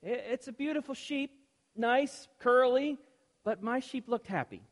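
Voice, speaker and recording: A woman's voice: on the high side, measured pace, pitched above the performer's own voice. Eager little boy voiced by a woman. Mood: earnest. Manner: earnest, pleading, stumbling starts. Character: eager polite seven-year-old boy. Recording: echoey room, long reverb, faint hiss.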